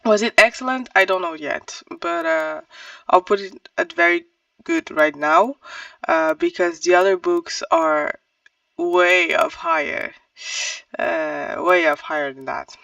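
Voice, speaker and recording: Halting book reviewer, very lively pitch, slow-paced. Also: vocal fry, no echo, recorded from a distance, muffled recording. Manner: halting, hesitant, self-correcting. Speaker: book reviewer on own channel. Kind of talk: casual book review, thinking aloud while ranking.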